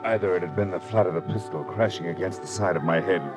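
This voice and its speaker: Low-voiced hard-boiled radio detective played by an actor. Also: male voice, quick pace, close to an everyday voice. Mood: grim, wry. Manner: terse, sardonic, clipped. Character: hard-boiled male private detective, wry and world-weary. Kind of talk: 1940s radio drama acting and first-person narration. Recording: vintage radio recording with prominent music.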